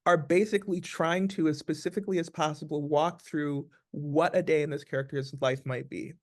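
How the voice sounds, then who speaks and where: A man speaking as an actor being interviewed, explaining things casually in a thoughtful, deliberate way. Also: a little high, fairly even intonation.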